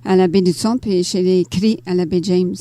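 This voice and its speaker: An older woman from Ontario with a Canadian French accent, answering interview questions conversationally and pausing often as she thinks. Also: fairly low pitch, fairly even intonation, mellow, no echo. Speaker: interview guest, author and teacher. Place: radio studio.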